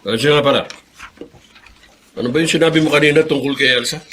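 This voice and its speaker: A woman's voice: a bass voice, fast, fairly bright tone, slight background noise. A woman acting a bitter, harsh grown child in a Filipino radio drama, scornful and blunt about an aged parent. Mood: scornful, irritated.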